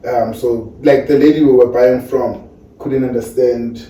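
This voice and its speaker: Man from South Africa, casual guest, hesitant rambling delivery, mellow tone, roomy distant mic. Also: medium pitch, steady tone, measured pace, a little hiss or hum. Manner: rambling, hesitant, lots of fillers and restarts. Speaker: guest recounting a trip. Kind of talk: casual chat.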